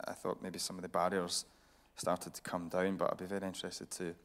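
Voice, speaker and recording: A man's voice: low voice, completely flat delivery, brisk, a bit bright. A man interviewing a guest on stage in a conversational style, as a TV reporter. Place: radio theatre stage.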